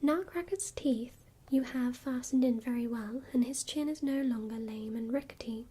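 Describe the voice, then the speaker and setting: A woman's voice: on the high side, normal speed, warm tone, breathy edge. A woman whispering the lines of a mischievous young boy, cheeky and blunt.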